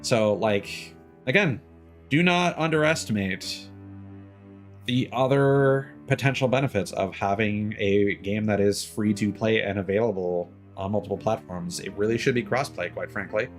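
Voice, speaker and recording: A man's voice: on the low side, normal speed, soft background music. A man in his thirties chatting casually on a game livestream, rambling and opinionated as he talks. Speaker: game streamer.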